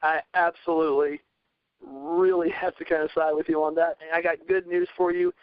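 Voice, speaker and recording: A man's voice: a little high, level tone, moderate pace, no echo. A man hosting a podcast discussion in an affable, agreeable, conversational way. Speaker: podcast host moderating a discussion. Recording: slightly dull, dry radio-like sound.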